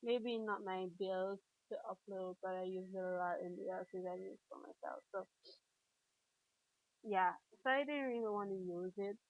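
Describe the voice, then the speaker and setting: A woman's voice: medium pitch, moderate pace, no echo, phone-quality sound. A woman with a stuffy, congested voice gives casual, rambling commentary over a building video, full of fillers and restarts. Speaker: video creator.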